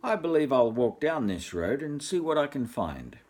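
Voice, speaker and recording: A polite little pig from a storybook, earnest and plucky as an older man acts him out. The pitch swoops around a lot and the voice is a bit husky. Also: big pitch swings, moderate pace, darkish tone. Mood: polite, eager.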